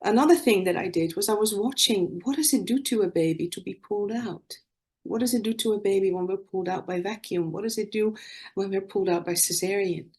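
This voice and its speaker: A woman's voice: medium pitch, fairly quick, darkish tone. A woman in her sixties with a Dutch accent, giving an earnest, storytelling conference talk over a video call. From Netherlands. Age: 60s. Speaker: conference speaker, nurse.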